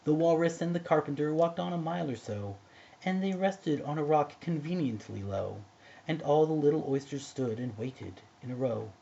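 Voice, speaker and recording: A man recites a narrative poem expressively. His voice sits a little high and is quite creaky. The home recording sounds slightly dull. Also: animated, moderate pace, dark tone. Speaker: amateur poetry reader.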